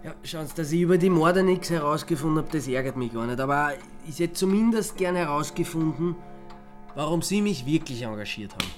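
An actor playing an awkward, polite, reluctant private investigator in a radio play, matter-of-fact, with an Austrian accent. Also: male voice, fairly high pitch, animated, fairly quick, soft background music, close to an everyday voice. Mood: frank, reluctant.

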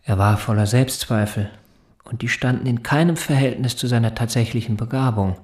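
A man's voice: mid-range voice, fairly quick, a little mellow, natural voice. Actor as a self-important, precise male tutor. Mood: smug, earnest. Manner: precise, condescending, literary phrasing. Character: self-important, articulate male private tutor, condescending and intense. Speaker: actor in a radio drama. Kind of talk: German radio drama acting, dialogue and inner monologue.

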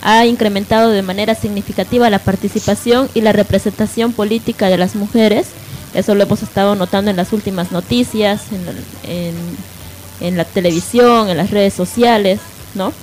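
A woman's voice: medium pitch, steady tone, moderate pace, a little mellow, faint music behind, low-quality recording. A woman co-hosting a live radio programme in a conversational style. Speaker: radio co-host.

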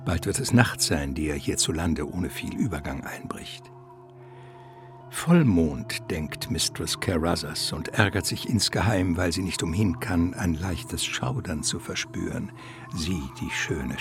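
A man narrating a scripted radio play in a measured, theatrical storytelling manner. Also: deep voice, lively intonation, music in the background.

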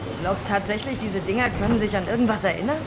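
Woman playing a sceptical survivor over loud music. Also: medium pitch, fast talker, close to an everyday voice. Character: sceptical, blunt female survivor. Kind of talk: horror radio drama acting.

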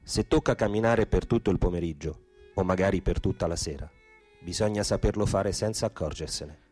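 A man's voice: low voice, a little fast, a little mellow, husky. A man delivering theatrical, poetic scripted narration for a radio play, with music in the background.

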